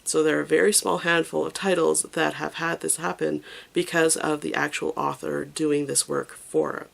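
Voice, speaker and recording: A manga review vlogger explaining their views to camera, casual and thoughtful, pausing often with fillers and stressing pet peeves. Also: mid-range voice, little pitch movement, gravelly, a bit bright.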